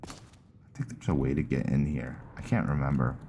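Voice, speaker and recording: A man's voice: a bass voice, brisk, noisy background. A man giving casual, conversational let's-play commentary, musing aloud as he plays. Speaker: gaming streamer, host.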